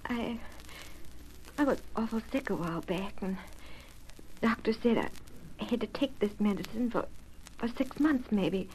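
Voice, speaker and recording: An actress playing a young rancher's daughter, stubborn but frail, speaking haltingly in an old radio western. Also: female voice, fairly high pitch, a little mellow, slight background noise, close to an everyday voice, poor audio. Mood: weak, confessing. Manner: hesitant, halting, repeating words.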